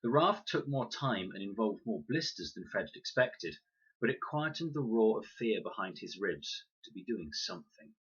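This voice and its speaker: A man reading a children's novel aloud in an expressive narration style. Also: lowish voice, normal speed, slightly rough, highs cut off. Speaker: audiobook narrator, reader.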